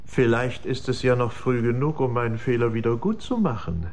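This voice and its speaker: An actor playing a haughty, irritable well-to-do gentleman with something to hide in a German radio crime drama. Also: medium pitch, moderate pace, close to an everyday voice. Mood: imperious. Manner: imperious, agitated, emphatic.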